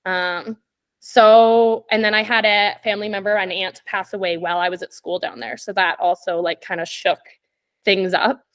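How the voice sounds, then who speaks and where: A woman in her twenties from Canada, a podcast guest telling stories in casual chat, laughing often and filling pauses with "like" and "um". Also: mid-range voice, steady tone, a bit bright. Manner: chatty, laughs often, many "like" and "um" fillers.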